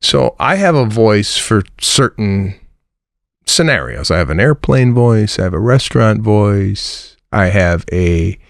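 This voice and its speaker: A man's voice: fairly low pitch, animated, measured pace, creaky, no echo, studio quality. A man co-hosting a comedy podcast, making wry observations and firing off rhetorical questions. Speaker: podcast co-host. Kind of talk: casual comedic chat.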